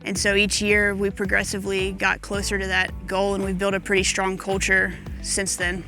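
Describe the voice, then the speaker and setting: Woman coach talking casually in an interview, mid pitch, music behind. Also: monotone, normal speed, sharp tone. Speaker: head women's golf coach. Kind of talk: documentary interview.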